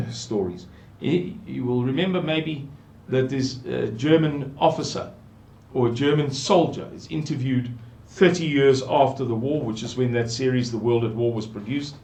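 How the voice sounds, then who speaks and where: An older South African man with a South African English accent, slowly musing through a Bible passage and repeating words for emphasis. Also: mid-range voice, creaky, warm tone. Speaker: Bible teacher. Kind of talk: unhurried scripture commentary. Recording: echoey room.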